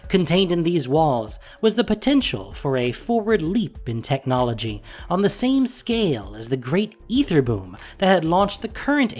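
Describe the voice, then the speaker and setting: A narrator reading a scripted story in an expressive, deliberate storytelling manner. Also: medium pitch. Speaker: narrator and producer. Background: music.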